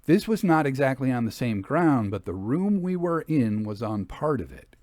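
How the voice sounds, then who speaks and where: A man reading a Victorian ghost story as scripted narration, in a measured storytelling delivery. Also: mid-range voice, big pitch swings, a little mellow, no echo, studio quality. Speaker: audiobook reader.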